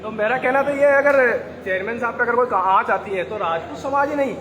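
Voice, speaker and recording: A man speaks formally as a councillor at a press conference, choosing his words with steady pauses. His voice sits high. A crowd murmurs behind him. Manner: formal, measured speech with pauses. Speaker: ward councillor.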